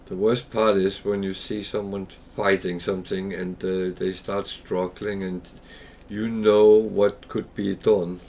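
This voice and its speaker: A man's voice: deep voice, little pitch movement, unhurried, hardly any pauses, darkish tone, slight background noise. A man from Denmark with a Danish accent, chatting casually and hesitantly while livestreaming a game. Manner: chatty, rambling, hesitant with fillers. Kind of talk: casual gameplay livestream chat.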